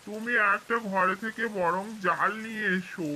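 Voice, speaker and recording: A woman's voice: medium pitch, normal speed, pushed and bright, a little hiss or hum, lightly acted, low-quality recording. A woman voicing a worried, kindly male farmer in a cartoon folk tale, speaking earnestly. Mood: amazed. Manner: earnest, fretful.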